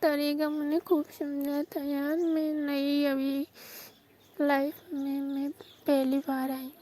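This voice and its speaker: Woman acting high-voiced, plaintive young bride. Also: monotone, takes it slowly, dark tone. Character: distressed young newlywed bride of eighteen confiding by phone. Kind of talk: staged phone-call prank drama in Hindi. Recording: noisy phone call recording.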